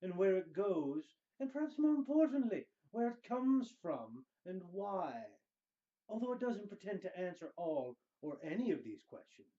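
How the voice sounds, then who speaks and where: A man reading book passages dramatically, with theatrical emphasis and deliberate pauses. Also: sits high, sing-song, mellow, dull audio. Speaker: reader of book excerpts.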